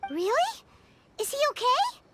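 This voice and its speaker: A woman voicing a small, excitable, childlike fairy companion in a video game, squeaky and protective. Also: measured pace, heavily acted. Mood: curious, concerned. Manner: exclamatory, questioning. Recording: game music behind the voice.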